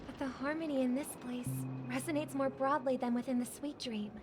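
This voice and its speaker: A woman voicing a gentle, idealistic young female singer in a video game, speaking in a measured, softly formal way. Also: sits high, steady tone, close to an everyday voice. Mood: grave, regretful. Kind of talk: scripted video game voice acting. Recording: music behind the voice.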